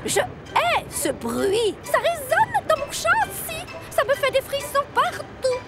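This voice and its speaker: A woman's voice: very high-pitched, very animated, takes it slowly, over loud music, exaggerated voice. A woman voicing a prim, scheming female railway carriage in a French cartoon dub, with an exaggerated fussy delivery. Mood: mock concern.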